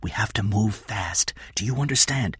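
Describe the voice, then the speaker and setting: A man voicing an anxious, determined young woman in an earnest, urgent, hushed manner. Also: lowish voice, normal speed, husky, no echo, clean studio sound, voice pushed up. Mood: urgent whisper.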